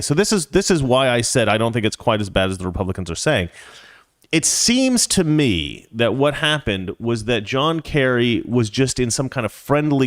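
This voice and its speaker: A man's voice: medium pitch, dry recording, clean studio sound. A man hosting a talk show, giving casual political commentary in a rambling, conversational way that drifts into anecdotes.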